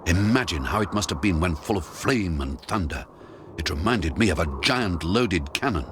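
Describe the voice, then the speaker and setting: A man's voice: deep voice, animated, moderate pace, background noise. A man narrating a story in the first person, in a dramatic, theatrical storytelling style. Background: music.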